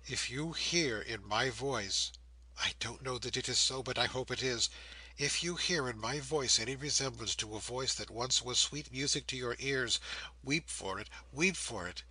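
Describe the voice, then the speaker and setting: A man voicing a gentle, devoted young woman who pleads solemnly and repetitively with her father in an audiobook. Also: medium pitch, moderate pace, husky, no room sound, poor audio. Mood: fervent pleading.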